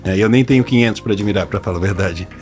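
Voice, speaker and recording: A man's voice: lowish voice, quick pace, soft background music. A man hosting a podcast interview, chatty and informal. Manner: chatty, informal, repeats words while thinking. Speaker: podcast host.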